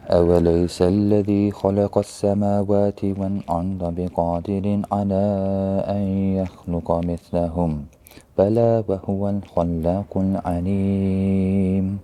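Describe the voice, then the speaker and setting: A man reciting the Quran in a slow, drawn-out melodic chant. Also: deep voice, flat delivery, dark tone, faint music behind.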